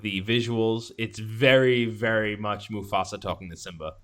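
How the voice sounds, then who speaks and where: A man's voice: lowish voice, steady tone, moderate pace, a bit bright. A man co-hosting a podcast, talking in a casual, rambling and enthusiastic way as he reviews a film. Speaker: podcast host. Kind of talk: casual movie review chat.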